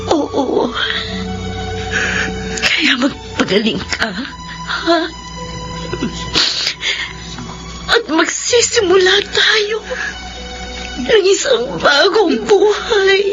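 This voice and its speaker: Very high-pitched, emotional Filipino elder sister. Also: female voice, big pitch swings, very slow, long pauses, muffled recording, full character voice. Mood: tender, forgiving. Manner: emotional, melodramatic delivery. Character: devoted, long-suffering elder sister, tearful and fierce. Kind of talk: Filipino radio drama acting. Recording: band-limited radio drama with loud music.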